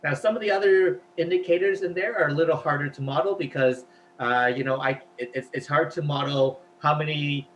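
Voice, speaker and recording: Male guest, native Cantonese speaker, chatty explainer with a bright, forceful tone. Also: a little high, fairly even intonation, fairly quick, slight background noise, rough recording. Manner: chatty, explains at length, filler-heavy. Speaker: guest, language researcher. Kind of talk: casual interview talk.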